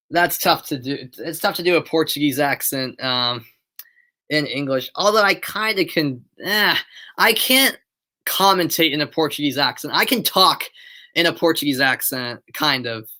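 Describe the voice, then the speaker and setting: Young American male, amateur play-by-play caller, bright tense tone, excitable on chances, webcam in a bedroom. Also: fairly high pitch. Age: young adult. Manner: excitable build-ups on shots, chatty asides to viewers. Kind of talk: live play-by-play commentary mixed with chat. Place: bedroom at home.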